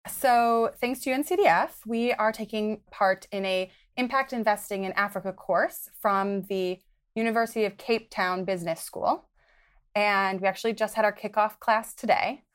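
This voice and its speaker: A young woman from Wisconsin talks as a podcast guest, earnest and conversational. Her voice sits at an ordinary middle pitch. She gives advice in a frank way. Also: normal speed, clear, resonant tone. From Wisconsin, United States. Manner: earnest, advice-giving, occasional laughs. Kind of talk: conversational interview.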